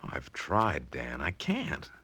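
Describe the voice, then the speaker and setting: A man's voice: rumbling low, wildly swooping pitch, slow, bright, forceful tone, husky, heavily acted. A man acting as a skeptical, easily frustrated heir in 1940s radio style, talking in a casual, hesitant, slangy way. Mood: puzzled.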